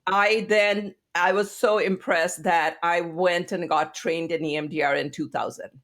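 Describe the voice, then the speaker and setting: A woman's voice: lowish voice, unhurried. A woman in her fifties from India, telling stories animatedly as a guest therapist on a casual podcast. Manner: animated storyteller, chatty and expressive.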